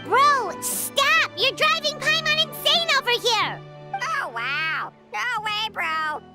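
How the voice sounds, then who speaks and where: A woman voicing a tiny, childlike fairy companion in a video game, excitable, teasing and quick to get indignant. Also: very high-pitched, loud music behind the voice, full character voice. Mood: exasperated. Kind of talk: video game character acting.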